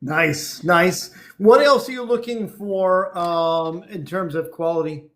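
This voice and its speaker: An energetic man hosting a live online dating show. His voice sits high for a man, and he talks in an upbeat, encouraging, chatty way as he greets viewers and interviews callers. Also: slow. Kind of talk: live-stream chat, reading viewer comments.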